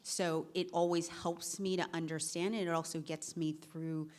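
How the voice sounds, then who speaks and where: A woman speaking on a career panel, chatty and quick, often restarting her sentences. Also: deep voice, level tone, darkish tone. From Virginia, US. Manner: chatty, self-correcting, quick bursts. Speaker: panelist, managing director. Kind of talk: panel discussion.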